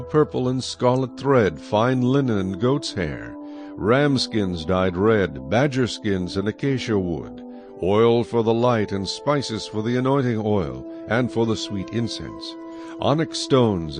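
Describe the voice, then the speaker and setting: A man narrating scripture in a measured, solemn, scripted style for a dramatized audio Bible. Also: mid-range voice.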